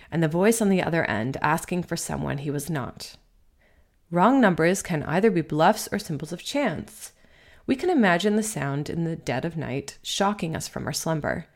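A woman with a notably low voice and a good deal of vocal fry narrates a written essay. The delivery is measured and bookish, as an author reading their own literary piece for listeners. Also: a little mellow.

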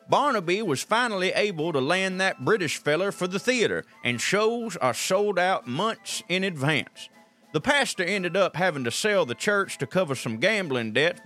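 A man's voice: high voice, sharp tone, heavily acted. A man with a Southern US accent acting a folksy colonel detective who narrates a comic audio drama with wry asides. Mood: folksy, satisfied. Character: folksy, digressive Southern colonel detective telling his tale.

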